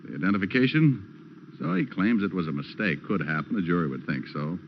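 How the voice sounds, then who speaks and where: An American actor playing a wry, hard-boiled private detective who narrates an old radio crime drama in slangy style. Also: male voice, low-pitched, lively intonation, brisk, creaky, slight background noise. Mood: wry, cool. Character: wisecracking hard-boiled male private detective, cool and sardonic. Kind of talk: 1940s-50s radio detective drama acting. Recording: old muffled radio recording with music.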